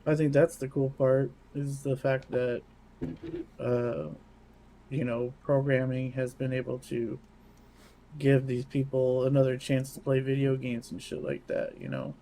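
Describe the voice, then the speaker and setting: A man's voice: mid-range voice, level tone, a little slow, warm tone. A man co-hosting a podcast, talking in a casual, conversational way. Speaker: podcast co-host. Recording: faint background noise.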